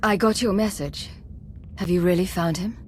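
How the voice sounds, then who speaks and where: A woman playing a cool, composed agent and commander in a video game, speaking in a crisp, businesslike, controlled way. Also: on the low side, fairly even intonation, moderate pace, darkish tone, a little hiss or hum, close to an everyday voice. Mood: serious. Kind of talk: video game cutscene acting.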